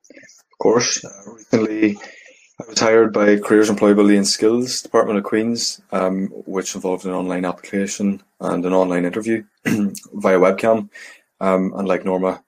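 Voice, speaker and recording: Young man with a very deep, flat voice. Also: normal speed, rough recording. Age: young adult. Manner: brief, hesitant, many "um"s. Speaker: panelist, final-year student. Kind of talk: webinar panel answer.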